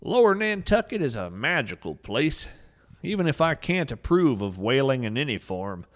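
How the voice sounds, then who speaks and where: A man's voice: medium pitch, big pitch swings, moderate pace, a bit bright. A man playing a folksy, whimsical naturalist adventurer, telling scripted tall tales in a wry, theatrical way for a podcast. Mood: earnest wonder. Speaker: podcast narrator. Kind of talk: scripted first-person storytelling monologue.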